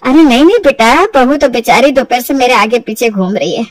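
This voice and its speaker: A woman's voice: on the high side, fairly quick, recorded from a distance, noisy background, natural voice. A woman voicing a plaintive, fond older mother-in-law in an acted Hindi storybook. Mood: weary, reassuring.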